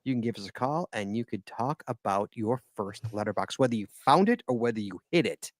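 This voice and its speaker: An American man hosting a podcast, joking around in quick, lively banter with his guests. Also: mid-range voice, no echo. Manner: lively, jokey, fast-paced. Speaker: podcast host.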